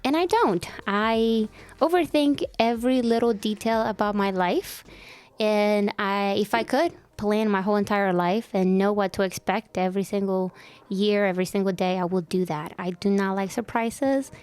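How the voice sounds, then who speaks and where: A woman's voice: medium pitch, normal speed, darkish tone, smooth. A woman in her twenties from El Salvador, co-hosting a casual podcast and chatting about herself with a self-deprecating, easygoing manner. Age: 20s. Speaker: podcast co-host. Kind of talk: casual chat.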